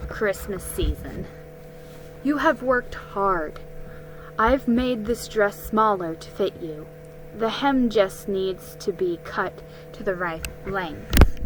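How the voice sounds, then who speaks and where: A kindly storybook shopkeeper, read by a woman, practical and gently instructing. She speaks slowly in a medium-pitched woman's voice. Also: close to an everyday voice, low-quality recording.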